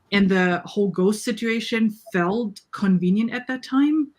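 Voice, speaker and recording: Woman chatting on a book panel. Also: medium pitch. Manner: agreeable, emphatic, often says "right?" to check. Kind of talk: casual book discussion on a live show.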